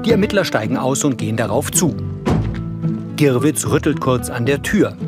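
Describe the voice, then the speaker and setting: Guy narrating a crime audio drama, mid-pitched voice, loud music behind him. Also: animated, moderate pace. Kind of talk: scripted scene narration, audio description.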